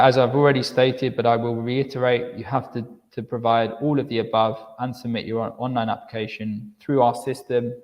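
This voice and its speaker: A man presenting university admission steps in a live online session, explaining clearly, often repeating words as he restarts and checking in with "okay". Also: mid-range voice, in a large hall, highs cut off.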